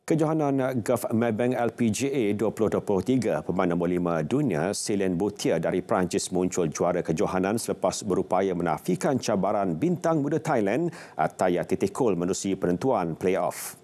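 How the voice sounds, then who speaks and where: Low-voiced Malaysian male sports newsreader. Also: animated, moderate pace, hardly any pauses, warm tone. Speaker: news presenter. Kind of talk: scripted sports news narration. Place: television studio. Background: music.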